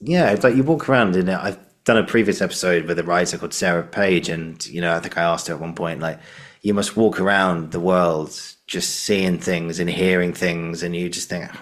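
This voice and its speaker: A man's voice: low voice, steady tone, moderate pace. A British man hosting a podcast, chatting casually and encouragingly, repeating phrases for emphasis. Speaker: podcast host. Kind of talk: casual interview chat.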